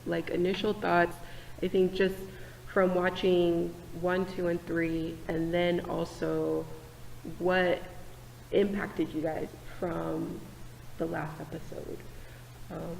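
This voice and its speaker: A woman hosting a casual panel discussion in a church meeting room, talking in a relaxed, hesitant way with many fillers. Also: on the low side, slow pace, gravelly, in a large hall, slight background noise, low-quality recording. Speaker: host.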